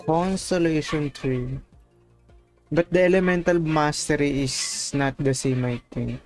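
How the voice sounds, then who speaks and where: A man's voice: fairly high pitch, fairly even intonation, measured pace, a little mellow. A man giving casual gameplay commentary as a livestreamer. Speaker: game livestreamer. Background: game music.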